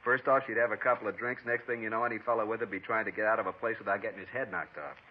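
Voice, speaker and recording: A man's voice: on the low side, steady tone, rapid-fire, breathy edge. An actor playing a defensive, self-pitying ex-con man being questioned, in a 1950s radio drama. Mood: scornful. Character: defensive ex-con man, middle-aged, sullen and evasive. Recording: dull old radio broadcast.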